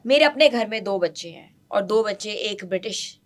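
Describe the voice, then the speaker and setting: A woman's voice: mid-range voice, lively intonation, normal speed. Lady host, chatty, mixes english in, normal mid voice. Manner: chatty, mixing English phrases into her questions. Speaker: podcast host. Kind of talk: conversational interview hosting.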